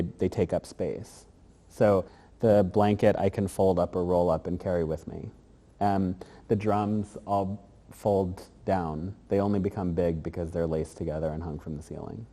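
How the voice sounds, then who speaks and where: A man's voice: sits low, mellow, long reverb tail. A man answering interview questions in a thoughtful, rambling way, with many fillers and restarts, recorded in a gallery. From grew up in Germany, Korea and the United States. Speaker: interviewed artist.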